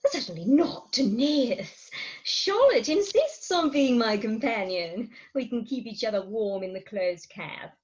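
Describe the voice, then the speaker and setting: A woman plays a brisk Victorian wife and mother in a theatrical farce. Her delivery is firm and arch, with very lively intonation. The sound is a little dull and lo-fi. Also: fairly high pitch. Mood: firm, teasing. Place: home, recorded remotely.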